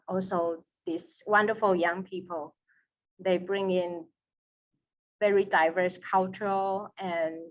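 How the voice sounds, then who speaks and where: A woman from Taiwan with a Taiwanese accent, a seminary student, answering an interview over a video call with slow, careful, non-native English. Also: on the low side, poor audio.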